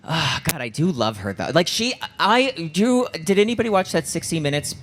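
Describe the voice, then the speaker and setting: A man co-hosting a live podcast in casual chat, halting and emphatic, repeating words as he goes. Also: on the high side, very animated, fairly bright tone. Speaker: podcast co-host. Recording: live show with faint background noise.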